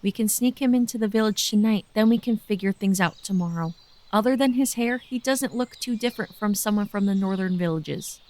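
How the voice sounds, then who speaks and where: A woman performs a female villager from a sci-fi audio drama. Her voice is medium in pitch, and she speaks with earnest, wary deliberation. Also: a little fast, a little mellow, lightly acted. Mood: resolved.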